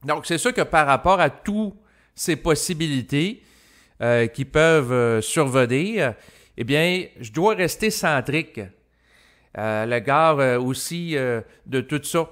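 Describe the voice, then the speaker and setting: Halting Québécois man, reflective monologue. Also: fairly high pitch, expressive, fairly bright tone. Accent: Québécois French. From Quebec, Canada. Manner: halting, roundabout, with frequent hesitations and long lead-ins. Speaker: host of spiritual self-help recordings. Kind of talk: unscripted reflective monologue to listeners.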